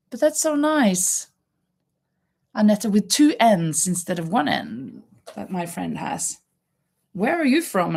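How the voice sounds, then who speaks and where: A woman's voice: medium pitch, creaky, darkish tone. A Norwegian woman with a Norwegian accent, chatting casually to viewers as the host of a livestream. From Norway. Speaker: live stream host, crocheter.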